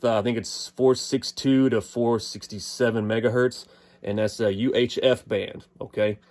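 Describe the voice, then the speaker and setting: A man's voice: mid-range voice, darkish tone. An American man giving a casual, unscripted gear review in a folksy, explanatory manner. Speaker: product reviewer.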